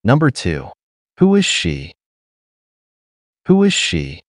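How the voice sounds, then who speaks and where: Slow, lively-voiced man reading test items. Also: fairly low pitch, no room sound, studio quality. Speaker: listening-test narrator. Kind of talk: scripted test narration.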